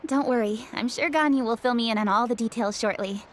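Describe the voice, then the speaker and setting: A woman's voice: a little high, fairly quick, a little hiss or hum, lightly acted. A composed official from a video game, acted by a woman speaks with courteous formality. She comes across as poised and diligent.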